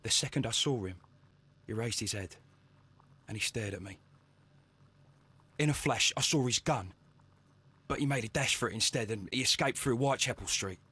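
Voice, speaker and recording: A man's voice: medium pitch, normal speed, long pauses, sharp tone, slightly rough. A man playing an anxious young working-class Victorian with a London accent in a video game, earnest and stammering as he speaks. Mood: anxious recounting. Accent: English, London. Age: young adult.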